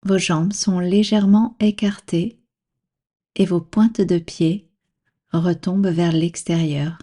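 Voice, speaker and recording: A woman guiding a relaxation practice as a meditation instructor, speaking calmly and soothingly in measured instructions with long pauses. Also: lowish voice. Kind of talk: guided yoga nidra relaxation.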